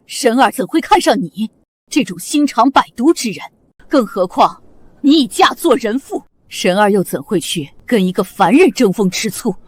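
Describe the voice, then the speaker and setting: A woman's voice: fairly high pitch, sing-song, moderate pace, breathy edge. A woman voicing an imperious queen mother of the gods in a costume drama, commanding and scornful. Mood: furious. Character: imperious divine queen mother, haughty and wrathful. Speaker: divine empress mother.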